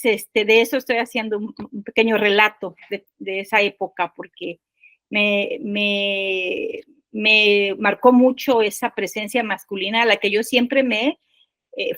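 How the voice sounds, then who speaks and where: An older woman, telling personal stories casually and haltingly, repeating words and seeking agreement. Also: mid-range voice, no room sound. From Guerrero, Mexico. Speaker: podcast guest, poet.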